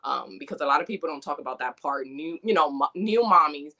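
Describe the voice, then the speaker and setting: A woman from New York, born in the Dominican Republic, talking as a doula guest in an earnest, chatty, animated way. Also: sits low, brisk. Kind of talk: casual interview conversation.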